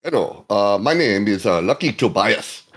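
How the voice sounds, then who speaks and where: A man's voice: on the low side, lively intonation, moderate pace, husky. A man with a Boston accent playing a shady security guard in a trench coat, dry and matter-of-fact.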